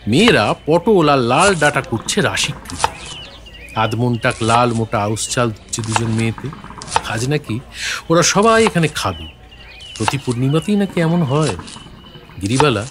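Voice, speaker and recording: An actor playing a thoughtful, courteous gentleman writer, narrating an audio story in a reflective, literary manner. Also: medium pitch, noisy background, lightly acted. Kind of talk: scripted story narration with acted dialogue. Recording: faint music behind the voice.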